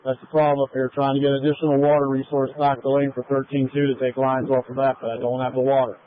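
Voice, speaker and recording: A man's voice: mid-range voice, monotone, fast. A man acting as fire incident commander, speaking in a matter-of-fact, procedural way over a two-way radio. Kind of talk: emergency radio traffic. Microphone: radio handset. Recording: tinny narrowband fire radio transmission.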